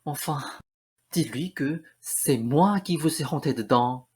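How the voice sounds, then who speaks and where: Woman as a low, husky, insistent eccentric lady. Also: lively intonation, slow, darkish tone, exaggerated voice. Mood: bewildered, pleading. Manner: hesitant, pleading insistence. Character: insistent, eccentric woman claiming a prince's love. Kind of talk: student radio play acting.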